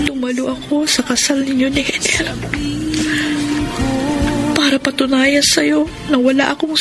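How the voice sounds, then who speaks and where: A woman playing a heartbroken, jilted young woman in a Filipino radio drama, plaintive and wistful. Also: high-pitched. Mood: grieving, tearful. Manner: plaintive, sorrowful. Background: clear background music.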